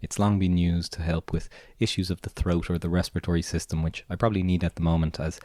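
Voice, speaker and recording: A man with an Irish accent hosting a podcast, raspy and hoarse from a cold, talking in a relaxed, meandering way with lots of filler words. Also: sits low, fast talker, no room sound, studio quality. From Ireland. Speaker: podcast host and gardener. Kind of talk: casual spoken monologue.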